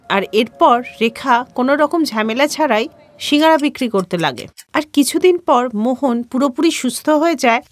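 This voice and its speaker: A woman's voice: high-pitched, no echo. A woman narrating a scripted children's story as the storyteller.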